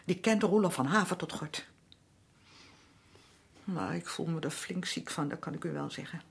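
An actress playing a weary, aggrieved working-class wife in a radio drama, middle-aged, with a colloquial, complaining way of talking. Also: female voice, low-pitched, normal speed, gravelly, husky, full character voice. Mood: bitter, aggrieved. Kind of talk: naturalistic radio drama acting.

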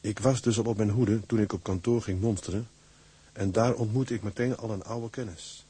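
A man's voice: on the low side, fairly even intonation, normal speed, mellow, husky. An actor playing an affectionate seaman who narrates a letter home to his wife in a radio drama. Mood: fond, storytelling.

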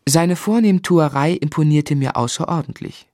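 An actor as a grown man recalling his childhood, in measured literary radio play narration. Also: fairly high pitch, a little mellow, no room sound, clean studio sound, natural voice. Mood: wry.

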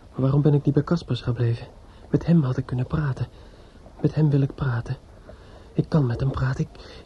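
An actor playing a grief-stricken, distraught young man in a Dutch radio drama, speaking haltingly with stammers and repetitions. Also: medium pitch, close to an everyday voice. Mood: distraught, muttering. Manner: halting, stammering, repeating himself. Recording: dull, slightly noisy old radio recording.

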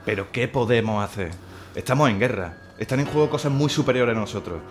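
Guy doing a fiery commissar, talks fast, music loud behind. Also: mid-range voice, close to an everyday voice. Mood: earnest. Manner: earnest, rallying. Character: zealous male republican commissar.

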